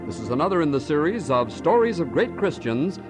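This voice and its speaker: A man's voice: on the high side, big pitch swings, a little fast, music in the background. A man announcing formally in the scripted narration style of mid-century radio.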